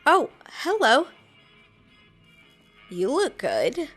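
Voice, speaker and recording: Woman, lively high voice, voicing her player in casual game role-play; playful with light music under. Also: very slow. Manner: playful, giggly, teasing. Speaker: gameplay narrator voicing the player character.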